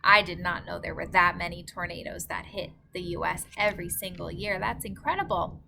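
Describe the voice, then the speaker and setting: A woman's voice: lowish voice, a little fast, creaky, bright, forceful tone, a little hiss or hum. A woman reading a storybook aloud like a teacher, enunciating carefully for young children. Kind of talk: storybook read-aloud narration.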